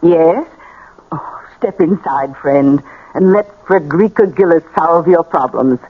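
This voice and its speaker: Brassy, scheming fortune-teller, muffled radio. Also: female voice, low-pitched, measured pace, a little hiss or hum. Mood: coaxing. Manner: slangy, brassy, commanding. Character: scheming, bossy, streetwise female fortune-teller and swindler. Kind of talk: 1980 radio drama acting. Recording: dull lo-fi old radio broadcast.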